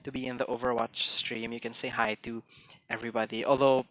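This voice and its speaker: A young man chatting casually on a game stream, quick-talking and full of restarts and little fillers. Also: mid-range voice, flat delivery, fairly bright tone. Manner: chatty, hesitant restarts, mixes in Tagalog phrases; tends to talk fast. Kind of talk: casual live-stream chat.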